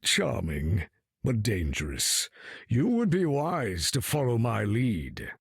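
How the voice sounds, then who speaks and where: An actor playing a proud, commanding old male mutant leader in a video game, with a lofty, condescending manner and a husky, gravelly voice. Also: mid-range voice, huge pitch swings, takes it slowly, no room sound, studio quality, heavily acted. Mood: imperious. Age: older.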